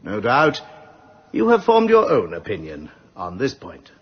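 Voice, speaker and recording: An actor playing a stern, pompous judge in a radio drama, addressing a jury with a husky voice and deliberate delivery. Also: male voice, on the low side, wildly swooping pitch, lo-fi sound, exaggerated voice. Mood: solemn, pointed. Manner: deliberate, magisterial, moralising. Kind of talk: British radio drama acting.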